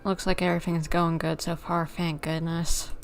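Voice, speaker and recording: A woman's voice: on the low side, flat delivery, moderate pace, creaky. A woman giving casual live gameplay commentary, full of mild, folksy exclamations. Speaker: game streamer.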